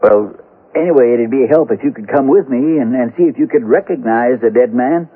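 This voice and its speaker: A man's voice: medium pitch, fairly quick, husky, natural voice. An actor playing a dogged Western lawman in a radio drama, plain-spoken and folksy as he questions people and narrates his case. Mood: firm. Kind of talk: old-time radio drama narration and dialogue. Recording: tinny old radio broadcast, music behind.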